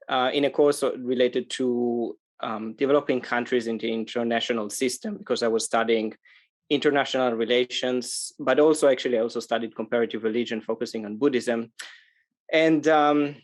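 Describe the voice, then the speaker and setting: A man from Israel speaking as a podcast interview guest, conversational, often pausing mid-phrase as he explains. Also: medium pitch. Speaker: interview guest, sustainable tourism organization manager.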